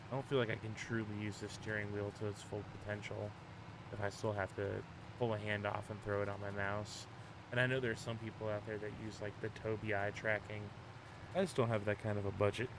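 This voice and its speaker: A man with a lowish, congested voice talks through his gameplay in an easygoing, self-deprecating ramble. His delivery stays flat and even. A steady engine rumble from the game runs clearly beneath him. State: slightly congested. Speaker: gaming channel host. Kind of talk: casual gameplay commentary.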